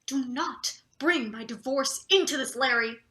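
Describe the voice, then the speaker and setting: Actress as ruthless female boss, higher voice, very bright and cutting, curt, sarcastic, satirical stage play. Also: a little slow. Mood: threatening, irritated. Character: ruthless, irritable female boss, sharp and threatening. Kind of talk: live table read of satirical stage play.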